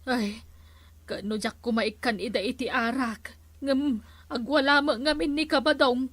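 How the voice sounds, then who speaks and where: A woman acts as an exasperated, gossipy village wife with an Ilocano Filipino accent. Her voice is fairly high and sharply bright, recorded dry, in comedy-skit style. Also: steady tone, unhurried, no echo. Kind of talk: amateur barangay comedy skit acting.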